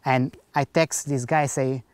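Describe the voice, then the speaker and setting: A man's voice: mid-range voice, steady tone, slow pace, no room sound. A man speaking English as a second language, earnestly telling a personal faith story and acting out the dialogue in simple grammar. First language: not English. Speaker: speaker giving a personal testimony.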